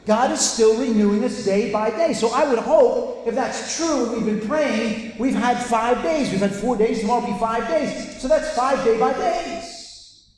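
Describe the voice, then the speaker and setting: A man's voice: extremely high pitch, measured pace, darkish tone. A man with a Welsh accent preaching a conversational sermon to a convention audience, often restarting and repeating words. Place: large hall with a live audience. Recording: echoey hall with long reverb, faint ambience.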